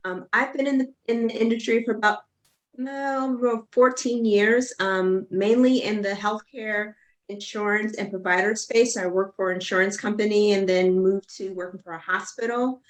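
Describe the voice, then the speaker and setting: A woman's voice: mid-range voice, fairly even intonation, moderate pace, low-quality recording. A woman from Pennsylvania, a podcast guest, speaking in a friendly, slightly hesitant way. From Pennsylvania, USA. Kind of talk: conversational interview.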